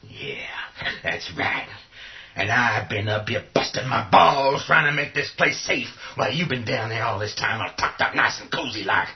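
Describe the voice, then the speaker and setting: A man's voice: mid-range voice, animated, normal speed, natural voice. A man playing a tough, combative survivor in a horror radio play, blunt, sarcastic and argumentative. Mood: angry, sarcastic. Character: tough, foul-mouthed, combative male survivor in charge. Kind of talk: radio horror drama acting. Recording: dull lo-fi audio.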